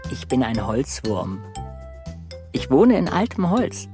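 An actor narrating a children's radio play as a wry, childlike woodworm, telling the tale expressively. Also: male voice, medium pitch, music in the background. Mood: wry, confiding. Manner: unhurried, expressive storytelling.

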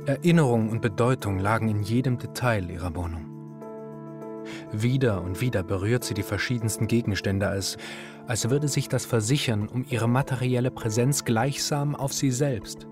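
Man as a composed narrator, serious, measured, mid-pitched, music underneath, literary radio drama. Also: natural voice. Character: composed literary narrator observing a troubled wife.

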